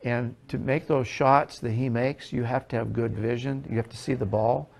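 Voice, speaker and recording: Older American man, gravelly fry, medium pitch, plain-spoken, casual interview. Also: steady tone, moderate pace, darkish tone. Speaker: billiards event organizer being interviewed. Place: seated indoors in a pool hall.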